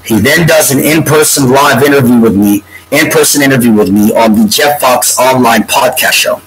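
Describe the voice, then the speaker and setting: A man in his forties with a congested, stuffy nose, rambling to a webcam from a room at home in a meandering, drawn-out way. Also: medium pitch, loud music behind the voice, low-quality recording. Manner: meandering, drawn-out, breaks into humming a tune. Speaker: vlogger. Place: home room with bookshelves, indoors. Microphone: webcam at desk.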